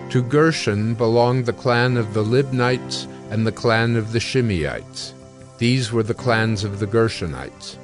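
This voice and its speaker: A man reads scripture as the narrator of an audio drama, with a mid-pitched voice. His delivery is measured and formal, and music plays behind him. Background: background music.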